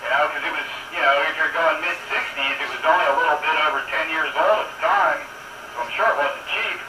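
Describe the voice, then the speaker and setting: A man's voice: fairly high pitch, fairly quick, roomy sound, recorded from a distance, noisy background. A man chatting casually and technically as a hobbyist showing off his equipment.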